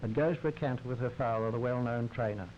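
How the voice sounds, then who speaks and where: A man's voice: mid-range voice, fast, dull audio. Wry 1930s newsreel man, hissy soundtrack. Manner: wry, droll, formal society commentary. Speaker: newsreel narrator. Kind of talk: 1930s scripted newsreel narration.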